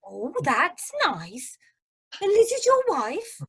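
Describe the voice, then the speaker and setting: A woman's voice: high voice, huge pitch swings, takes it slowly, vocal fry, bright, forceful tone, heavily acted. A woman playing a chatty, nosy cruise passenger who loves gossip, in an amateur radio drama. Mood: eager, nosy. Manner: gossipy, chirpy.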